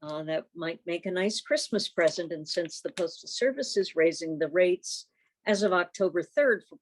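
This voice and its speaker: A woman's voice: on the low side, low-quality recording. A woman chairing an informal club meeting over a video call, chatty and rambling with wry asides.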